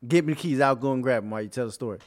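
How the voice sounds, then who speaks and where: A man's voice: medium pitch, very fast. A young man chatting casually, eager and playful, setting up a game among friends. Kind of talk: casual chat.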